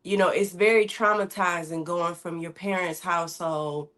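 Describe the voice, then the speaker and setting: Candid low-voiced woman from Michigan. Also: steady tone, moderate pace, fairly bright tone. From Michigan, USA. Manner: candid, conversational, drops into slang. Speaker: guest, singer-songwriter. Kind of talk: casual interview chat.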